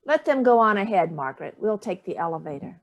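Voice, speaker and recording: A woman's voice: mid-range voice, big pitch swings, quick pace, highs cut off. A woman in a staged play reading, playing an imperious, cutting grandmother with a dry, lofty manner.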